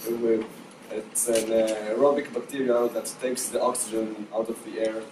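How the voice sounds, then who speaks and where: A man's voice: fairly low pitch, flat delivery, moderate pace. A young man from Israel explains the science in a student presentation, hesitating often with ums and restarts. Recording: echoey room, distant mic, noise.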